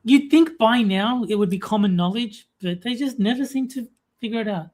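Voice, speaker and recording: A woman's voice: fairly high pitch, moderate pace, a little mellow. An Australian woman co-hosting a casual talk stream, chatty and full of fillers, reading viewer comments aloud. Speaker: podcast co-host reading chat. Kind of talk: casual chat.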